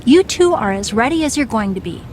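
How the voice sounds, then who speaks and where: A woman's voice: a little high, expressive, a little fast, a little mellow, a little hiss or hum, close to an everyday voice. A woman playing a bossy, seasoned wrestling legend in video game dialogue, firm, matter-of-fact and wry. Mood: frank, firm.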